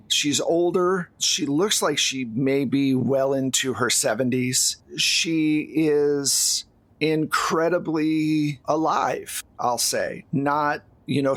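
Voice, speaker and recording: A man narrating a tabletop role-playing game as game master, telling the story in a measured way with deliberate pauses. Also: on the high side, a bit bright, dry recording.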